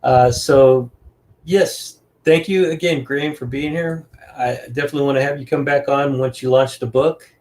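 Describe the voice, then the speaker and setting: A man hosts a podcast in a relaxed, easygoing way. He thanks his viewers and often calls his guest "man". His voice sits at an ordinary pitch. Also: normal speed. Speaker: podcast host. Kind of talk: casual interview chat.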